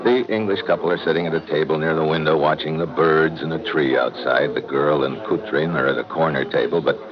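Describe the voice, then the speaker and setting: An actor playing a laconic, wry American secret agent in an old-time radio drama. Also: male voice, a bass voice, fairly even intonation, fairly quick, a crowd in the background. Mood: cool, businesslike. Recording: muffled, noisy old radio recording.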